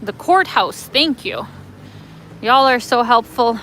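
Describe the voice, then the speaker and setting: Woman, Canadian accent, fairly high voice, rambling casual chatter, outdoor phone stream, faint street noise. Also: expressive, takes it slowly, sharp tone. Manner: chatty, rambling, many fillers and laughs. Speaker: travel vlogger. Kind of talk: live walking-tour chat.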